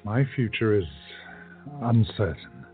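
A man playing an earnest, guarded gentleman in a radio play, speaking formally. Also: lowish voice, animated, slow. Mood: tender, torn. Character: Joe, earnest, guarded gentleman time traveller. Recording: dull lo-fi, music behind.

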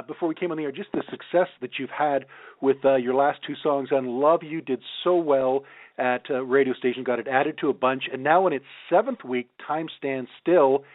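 Male radio interviewer on a thin, phone-like line. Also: on the high side. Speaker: radio show host, interviewer. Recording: thin phone-like band, roomy echo.

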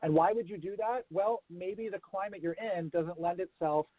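A man with an American-sounding accent explains his field in a thoughtful, unhurried way, with a few fillers. His voice sits a little high. The sound is dry and thin, like a phone line. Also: dry recording. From grew up around the world. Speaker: guest, urban farming consultant. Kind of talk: remote interview.

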